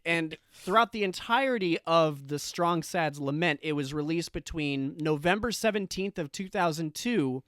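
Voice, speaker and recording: A man's voice: on the high side, animated, normal speed, few pauses, fairly bright tone, dry recording. A man hosting a podcast, talking to introduce a segment, recorded close on the microphone. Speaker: podcast host.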